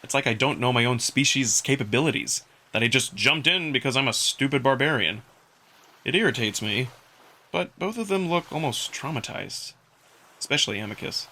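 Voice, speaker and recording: A man narrating a first-person visual novel story in an expressive, dramatic style. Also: mid-range voice, normal speed.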